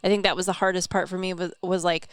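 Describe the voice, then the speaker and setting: A woman's voice: on the low side, flat delivery, fast talker, dry recording, studio quality. A young adult woman co-hosting a podcast, talking in a candid, chatty way. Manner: candid, chatty, swears casually. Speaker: podcast co-host. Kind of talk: casual chat.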